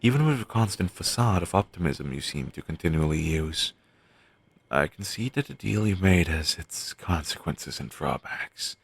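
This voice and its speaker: Man acting a deep, gravelly zombie best friend. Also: lively intonation, moderate pace, heavily acted. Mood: worried, gentle. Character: undead young man, resurrected zombie best friend, weary, caring. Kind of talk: audio roleplay, intimate one-sided dialogue.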